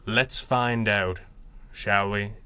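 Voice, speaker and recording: An actor playing a calm, principled masked hero in a 1930s-style radio drama, with measured and formal diction. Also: male voice, lowish voice. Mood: calm, persuasive. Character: calm, principled masked crime-fighting hero, adult man.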